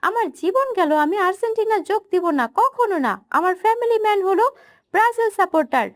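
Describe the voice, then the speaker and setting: A woman's voice: very high voice, animated, quick pace, no room sound, studio quality. A woman voicing a stubborn young girlfriend in a cartoon, pleading and firm. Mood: defiant. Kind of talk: cartoon voice acting.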